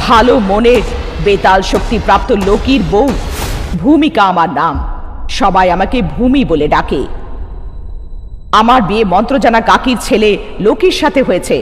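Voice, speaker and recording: A man's voice: sits high, fairly bright tone. A man voicing a grave village mystic aunt in a cartoon, giving solemn, emphatic warnings and explanations. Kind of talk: dubbed Bengali cartoon dialogue. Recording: long reverb, music underneath.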